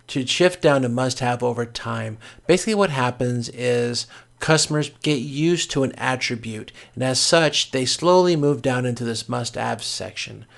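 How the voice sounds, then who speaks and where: A man with a noticeably creaky, gravelly voice at a middling pitch delivers an informal recorded lecture. He sounds like an instructor walking through slides, explaining each point step by step and reaching for everyday examples. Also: a bit bright. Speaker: course instructor.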